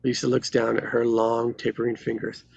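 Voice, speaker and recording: Gravelly, husky man narrating a screenplay. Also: medium pitch, completely flat delivery, moderate pace, darkish tone, no echo, highs cut off. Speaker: stage directions reader. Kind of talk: scripted narration at a live table read.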